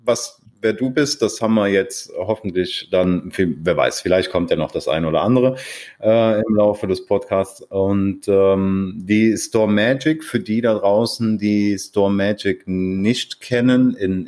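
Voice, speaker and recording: A man hosting a podcast interview, asking questions in a halting way with many fillers. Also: fairly low pitch, darkish tone. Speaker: podcast host.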